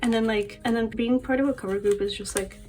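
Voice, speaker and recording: A woman's voice: on the high side, fairly even intonation, fast, darkish tone, soft background music. A young woman vlogging casually about her collection and life, rambling with lots of fillers, her voice a little off from allergies. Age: young adult. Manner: chatty, rambling, many fillers and laughs. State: voice a bit off from allergies. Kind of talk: casual chat to camera.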